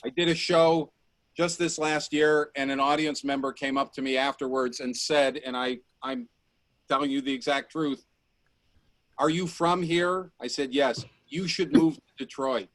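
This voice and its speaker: A man talking casually on a video call, telling stories in a dry, joking, halting way. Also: medium pitch, steady tone, a bit bright, no room sound, poor audio. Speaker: actor on a post-show panel. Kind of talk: casual storytelling. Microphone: video call microphone.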